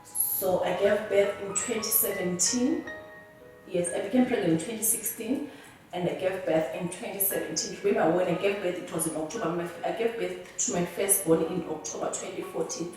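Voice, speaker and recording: Zimbabwean woman telling her story, stops and restarts a lot, kinda far from the mic, faint music behind. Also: lowish voice. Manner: halting and earnest, often restarting words. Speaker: interviewee. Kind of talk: personal testimony. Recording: very echoey room, voice from a distance.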